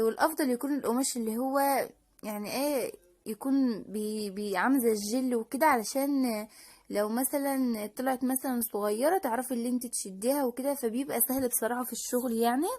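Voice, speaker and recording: A woman's voice: on the high side, fast, darkish tone. A woman with an Egyptian Arabic accent, talking casually through a crafting tutorial as the channel's host and explaining each step. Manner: chatty and explanatory, with frequent restarts and fillers.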